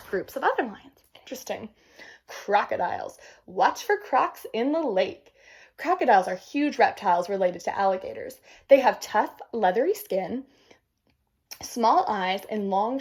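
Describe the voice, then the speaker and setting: A woman reads a nature book aloud for children in a fairly high voice with a husky edge. Her pitch moves around a lot as she goes. She slips between reading the text and casual, teacherly remarks to her young listeners. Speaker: read-aloud presenter.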